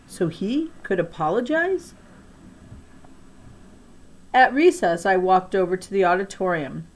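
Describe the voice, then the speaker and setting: A woman reading a children's novel aloud as an expressive narrator, with deliberate, emphatic phrasing. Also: lowish voice. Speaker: audiobook-style reader of a children's novel.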